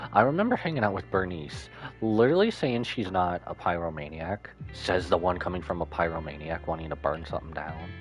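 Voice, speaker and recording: Deep voiced guy doing slangy game reactions, super animated, bit of game music behind. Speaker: streamer. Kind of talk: casual game playthrough commentary, reading some text aloud.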